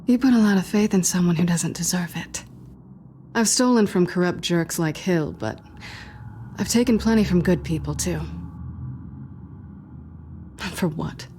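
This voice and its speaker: An actress as a guarded young woman thief in a story-driven video game. Her voice sits on the low side, intimate and sardonic, with a teasing dry wit. There is clear noise and ambience behind her. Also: normal speed, pauses often, darkish tone, natural voice. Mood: weary, confessional. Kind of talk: naturalistic video game acting.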